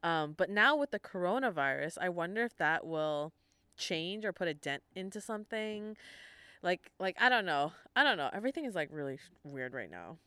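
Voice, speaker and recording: A young woman co-hosting a casual podcast, her voice slightly low, smooth and resonant. She talks in a chatty, animated way and laughs often. The sound is very dry and close. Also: moderate pace, dry recording. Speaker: podcast co-host. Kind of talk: casual chat.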